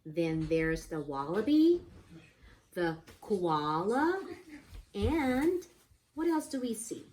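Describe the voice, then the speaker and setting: Slow-paced woman teaching young children. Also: medium pitch, sing-song, darkish tone. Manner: simple explanations with frequent questions to the children. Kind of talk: interactive picture-book read-aloud lesson for young children.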